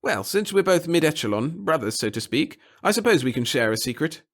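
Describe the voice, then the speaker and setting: A man's voice: a little high, very animated, brisk, voice pushed up. A man voicing a small, nervous, fussy bureaucrat in an audiobook, speaking in formal, wordy, obsequious sentences. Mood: alarmed, confiding. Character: little wizened fussy nervous male bureaucrat with hidden cunning. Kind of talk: audiobook character acting.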